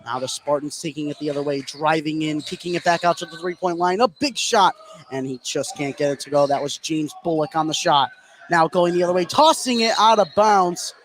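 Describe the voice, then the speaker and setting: A man's voice: on the high side, fairly quick, fairly bright tone. A man calling a basketball game live as a play-by-play radio commentator. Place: indoor school gym. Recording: crowd noise behind the voice. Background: gym crowd.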